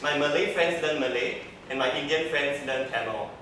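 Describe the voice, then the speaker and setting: A man's voice: a little high, moderate pace, bright, forceful tone, a little hiss or hum. Young singaporean guy presenting to a class, earnest, lots of "so", far from the mic in a very echoey room. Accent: Singaporean English. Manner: earnest, structured, repeats "so" to link points. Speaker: student presenter.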